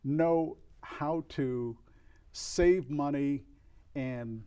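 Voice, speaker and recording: An older man from El Paso, a record store owner, speaking as an interview guest in a reflective, earnest way, with frequent restarts and pauses. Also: a little high, warm tone, clear, resonant tone. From El Paso, Texas. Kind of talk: candid interview conversation.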